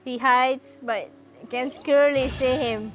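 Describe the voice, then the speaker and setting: A woman's voice: high-pitched, steady tone, slow pace, a bit bright, soft background music. A woman with a Malaysian accent giving casual live commentary while streaming a game.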